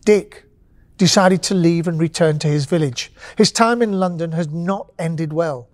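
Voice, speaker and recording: A woman narrating a bedtime story with gentle, expressive pacing and dramatic pauses. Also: on the low side, dry recording.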